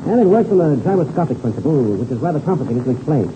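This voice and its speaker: A man's voice: a little high, sing-song, fast. An actor playing a confident seasoned pilot mentoring a boy in 1930s radio serial style, assured and slangy. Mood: assured, enthusiastic. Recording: scratchy, tinny old-time radio recording, noisy.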